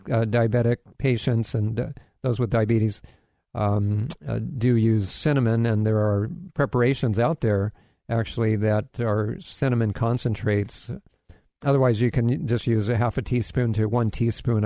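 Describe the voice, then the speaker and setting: Man from Hawaii, radio health host, mid-pitched, loose and rambling with fillers, dry close mic. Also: mellow, no echo. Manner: rambling, many fillers and restarts, corny jokes. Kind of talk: casual radio health talk.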